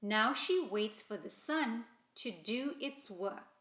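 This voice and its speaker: A woman reads a children's story aloud in an expressive, deliberate storytelling manner. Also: fairly high pitch. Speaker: storybook reader. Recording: roomy, echoing indoor sound.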